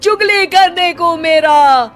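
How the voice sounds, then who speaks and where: A woman's voice: very high voice, slow pace, fairly bright tone, soft background music, voice pushed up, exaggerated voice. A woman voicing a lazy, carefree young man who declaims comic rhymes in a cartoon story. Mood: showy, smug.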